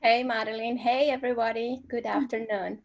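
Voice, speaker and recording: A woman from Colombia with a Colombian accent and Spanish as her first language, answering interview questions as a guest scientist over a video call, warm and reflective, with ums and small slips. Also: fairly high pitch, steady tone, fairly quick.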